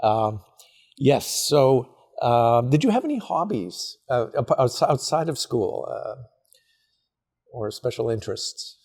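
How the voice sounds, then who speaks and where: A male interviewer asks politely hesitant questions, often pausing on fillers and restarting his sentences. His voice is husky, with a dark, mellow tone. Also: medium pitch, big pitch swings. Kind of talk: oral history interview.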